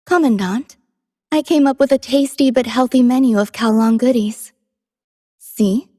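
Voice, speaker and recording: Gentle, cultured young game heroine: devoted, soft-spoken, slightly high voice, composed delivery, dry studio sound. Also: female voice, a little slow, no echo. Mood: warm, caring. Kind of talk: scripted game character voice lines.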